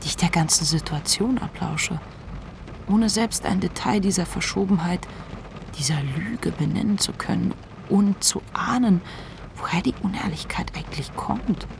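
A woman playing the introspective inner voice of a manic writer in a radio drama, telling memories in a measured way. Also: fairly low pitch, vocal fry, slight background noise. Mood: reflective, uneasy. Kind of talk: literary radio drama narration.

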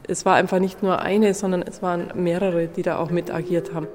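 A woman's voice: lowish voice, level tone, fairly quick. A woman explaining informally in an interview, searching for words, recorded in a reverberant room. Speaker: archaeologist being interviewed.

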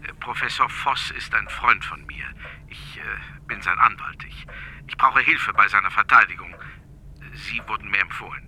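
Radio drama lawyer: very forceful, edgy tone, lowish pitch, formal, pressing, slightly hesitant. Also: male voice, normal speed, heavily acted, poor audio. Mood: urgent, formal. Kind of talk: radio drama phone dialogue.

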